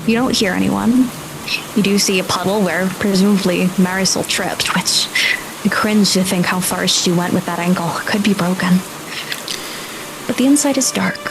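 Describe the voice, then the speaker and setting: A woman's voice: medium pitch, moderate pace, vocal fry, background noise. A woman acting as game master, improvising descriptive scene-setting narration for a tabletop role-playing game.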